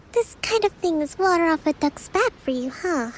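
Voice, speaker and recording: A woman playing a gentle, composed young island leader in an anime game, polite and measured. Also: extremely high pitch, lively intonation, slight background noise. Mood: composed, reassuring. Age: young adult. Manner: polite, measured, soft-spoken.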